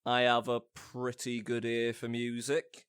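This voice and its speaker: An actor playing a simple, drowsy donkey-headed man in an audio drama, savoring his words in a drawn-out way. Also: medium pitch, monotone, a bit bright, smooth, dry recording, clean studio sound, natural voice. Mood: dreamy, hungry. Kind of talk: modernized Shakespeare audio drama acting.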